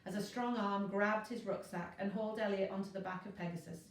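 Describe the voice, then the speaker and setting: A woman's voice: medium pitch, fairly even intonation, fairly quick, slight background noise. A woman narrating a children's novel expressively, as a teacher reading to a class. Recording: very echoey room, distant mic.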